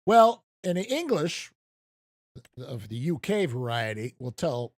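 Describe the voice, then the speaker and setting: A man's voice: medium pitch, very animated, a little slow, dry recording, clean studio sound. An American man casually chatting while streaming a farming game, rambling and poking fun at himself. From United States. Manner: rambling, self-deprecating, chuckles at himself. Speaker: gaming streamer. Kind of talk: casual live stream commentary.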